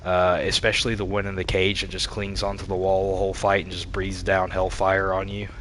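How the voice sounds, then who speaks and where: A man with a stuffy, congested voice, livestreaming a video game with casual, halting commentary and replies to chat. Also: low-pitched, completely flat delivery, fairly bright tone, a little hiss or hum, rough recording. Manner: halting, reading and answering chat aloud. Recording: game music behind the voice. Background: video game music.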